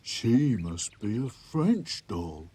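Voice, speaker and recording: A man voicing a small, kindly, bumbling male rag doll, speaking slowly and sounding puzzled. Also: medium pitch, sing-song, warm tone, breathy edge, full character voice.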